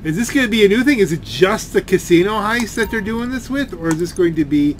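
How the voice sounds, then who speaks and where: Casting: male gaming creator, slightly high voice, rambling complaint-style commentary with repeated words, noisy gameplay behind. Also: lively intonation, fairly quick. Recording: game and engine noise behind voice.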